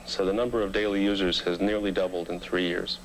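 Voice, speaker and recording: A man giving a formal, measured interview as a research expert, recorded on an old documentary soundtrack. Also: sits low, level tone, a little hiss or hum, low-quality recording. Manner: measured, precise.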